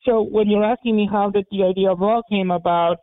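A man from Poland whose first language is Polish, talking as an interview guest in a halting way, with many repeated words and restarts. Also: high voice, fairly even intonation, no echo. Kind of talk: casual interview talk. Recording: phone-like narrow band.

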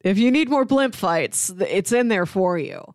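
A woman's voice: medium pitch, animated, normal speed, dry recording. A woman with a mid-range voice co-hosts a podcast, talking through recommendations conversationally. The sound is very dry, with hardly any room around her casual delivery. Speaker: podcast co-host.

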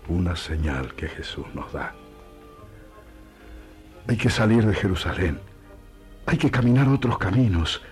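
Guy acting a devout older-sounding apostle husband, argentine, really low slow voice, earnest n tender, radio play w music. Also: lively intonation, a little mellow. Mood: resolute, fervent. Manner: earnest, deliberate, preaching fervor.

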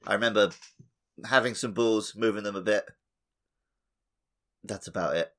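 A man's voice: fairly low pitch, steady tone, moderate pace, a bit bright. A man co-hosting a casual gaming podcast, talking in a loose, joking, informal way. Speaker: podcast co-host.

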